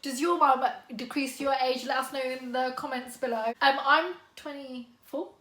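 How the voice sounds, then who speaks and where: Bright-voiced young woman vlogger. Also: sits high, normal speed, echoey room. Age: 20s. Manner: animated storytelling, laughing often. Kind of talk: casual sibling chat.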